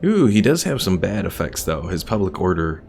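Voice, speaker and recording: A man's voice: low-pitched, big pitch swings, normal speed, creaky, darkish tone, soft background music. A man streaming a strategy game, casually explaining its mechanics as he plays. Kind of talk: casual gameplay commentary.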